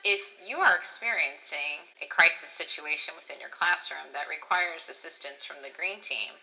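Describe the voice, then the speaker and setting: A woman's voice: low voice, normal speed, gravelly, bright, forceful tone, rough recording. A woman co-hosting a staff training talk, giving short, scripted-sounding instructional points. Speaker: co-host, school staff trainer.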